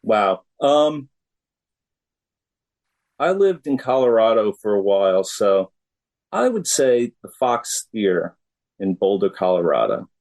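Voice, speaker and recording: Slow-talking middle-aged American man, low voice. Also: very animated, a little mellow. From United States. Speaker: musician guest. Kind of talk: casual interview conversation.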